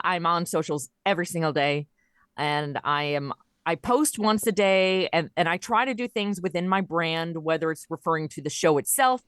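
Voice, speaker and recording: Lower-voiced woman, chatty podcast guest. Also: fairly bright tone, dry recording, clean studio sound. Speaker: podcast guest, audio drama creator and voice performer. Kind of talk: casual interview chat.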